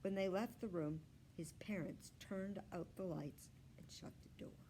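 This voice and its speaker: A woman narrates a children's storybook in a slightly low voice. She takes her time, with deliberate, expressive delivery. There is some faint noise behind her. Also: low-quality recording. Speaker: children's librarian reading aloud.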